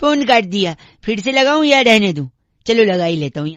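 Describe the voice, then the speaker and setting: A man's voice: high-pitched, sing-song, moderate pace, fairly bright tone, no echo. A man voicing a pestering, long-winded radio prank caller who argues nonsense with mock earnestness in a comic phone call. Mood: needling.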